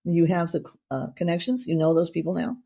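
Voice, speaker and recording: Gravelly, dark-voiced woman host. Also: fairly low pitch, level tone, a little fast. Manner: chatty, effusive, rambling. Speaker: podcast host. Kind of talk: casual interview chat.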